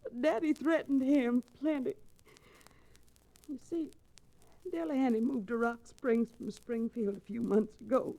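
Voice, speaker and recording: Spirited, high-voiced ranch daughter. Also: female voice, steady tone, a little slow, long pauses, low-quality recording. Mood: bitter, tense. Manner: sharp-tongued, dramatic. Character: bitter, spirited rancher's grieving young daughter. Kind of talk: old-time radio western acting. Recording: restored vintage radio drama recording.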